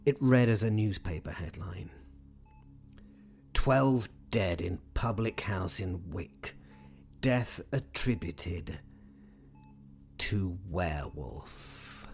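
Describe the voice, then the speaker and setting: Slow, gravelly professor. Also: male voice, low-pitched, exaggerated voice. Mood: brisk, dry. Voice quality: gravelly, husky. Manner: hesitant, musing, dryly formal. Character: weary, wry, courteous British male professor. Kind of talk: audio drama monologue.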